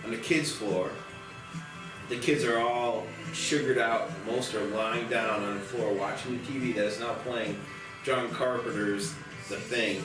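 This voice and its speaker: A man reading screenplay stage directions in a deliberate, dramatic narration. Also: medium pitch, fairly even intonation. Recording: very echoey, distant mic, music behind.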